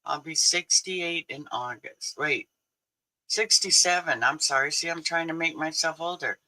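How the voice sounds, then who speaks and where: A man's voice: fairly high pitch, a little slow, bright, forceful tone, low-quality recording. A man in his sixties hosting a casual livestream, chatty and laughing often as he answers his viewers. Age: 60s. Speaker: livestream host.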